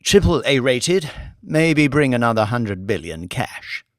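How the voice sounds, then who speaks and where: Male reader as junior banker, hesitant, eager, lively pitch. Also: measured pace, dry recording.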